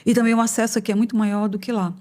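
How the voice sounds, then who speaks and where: A woman in her fifties from northern Brazil, an economics professor answering interview questions in a thoughtful, measured way with frequent pauses and hesitations. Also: a little high, level tone, mellow. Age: 50s. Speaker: economics professor, podcast guest. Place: podcast studio.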